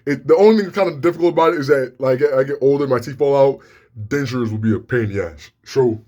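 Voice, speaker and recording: A young man with a deep voice and slightly slurred words, talking casually and profanely to the camera with lots of restarts. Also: fast talker, mellow, dull audio. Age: young adult. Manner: rambling, frank, profane, many restarts. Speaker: vlogger.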